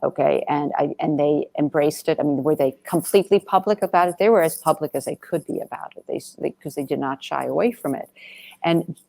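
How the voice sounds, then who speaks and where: A woman's voice: low voice, brisk, gravelly, warm tone, dry recording. A woman speaking as a film director in an interview, answering conversationally in a discursive, emphatic way and often rephrasing as she goes.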